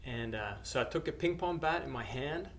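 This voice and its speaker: Middle-aged male missionary from Norway, plain mid pitch, halting testimony with fillers; faint background noise. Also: lively intonation, a bit bright. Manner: hesitant, many uhs and restarts. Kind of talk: personal testimony to camera.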